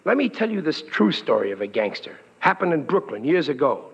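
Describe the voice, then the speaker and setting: A man's voice: on the high side, big pitch swings, a little fast, creaky, slightly rough, heavily acted. An actor playing a blustering, doting working-class New York father, middle-aged and ungrammatical, in 1940s radio comedy style. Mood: earnest lecturing. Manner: folksy, ungrammatical, blustery scolding and reassuring. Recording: muffled vintage radio recording in hall.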